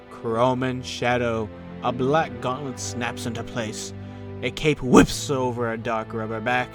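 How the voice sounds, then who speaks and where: A man narrating an audio drama in a theatrical announcer style, slipping into offhand comic asides. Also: mid-range voice. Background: music.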